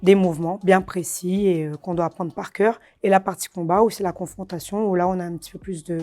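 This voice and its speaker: A woman, answering interview questions casually and hesitantly, with many fillers and soft laughs. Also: on the low side, fairly even intonation, a little fast, soft background music. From Réunion. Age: 30s. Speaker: karate champion guest.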